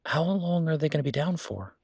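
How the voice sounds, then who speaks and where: A man's voice: a little high, lively intonation, fairly quick, creaky, darkish tone, slightly rough, no room sound, heavily acted. Man playing an easygoing werewolf boyfriend, close dry sound. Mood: sympathetic. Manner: conversational, teasing, easygoing. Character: friendly, playfully vain werewolf boyfriend, human-sounding. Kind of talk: boyfriend roleplay audio, casual one-sided conversation.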